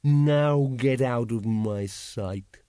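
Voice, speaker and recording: A man voicing an imperious, menacing dragon with a slow, drawn-out, commanding delivery in a storybook reading. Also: mid-range voice, warm tone, clear, resonant tone, no echo, clean studio sound. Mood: cold menace.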